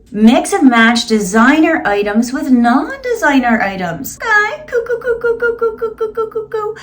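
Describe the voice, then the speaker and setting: A woman's voice: on the high side, fairly bright tone. A woman in her late thirties, a vlogger who reads search results aloud and pokes fun at them with dry, sarcastic asides. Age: 30s. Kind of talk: casual vlog reading and commenting.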